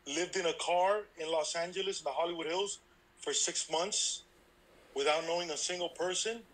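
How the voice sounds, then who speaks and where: A man's voice: a little high, moderate pace, low-quality recording. A man in his thirties, born in Cuba and raised in Miami, telling his life story frankly and with energy as an interview guest. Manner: energetic, frank and self-deprecating. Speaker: baseball instructor guest.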